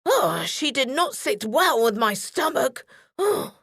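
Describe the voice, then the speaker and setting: Woman acting a storybook wolf villain, cunning and gluttonous, slow wheedling phrases, very animated, dry sound. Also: sits high, husky, no echo, full character voice. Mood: uneasy, grumbling. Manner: sly, slow, drawn-out wheedling delivery.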